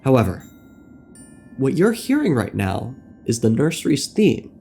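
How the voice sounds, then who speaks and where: A man narrating a scripted video essay on game lore, with wry, deadpan asides. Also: medium pitch, a little slow, creaky. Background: music.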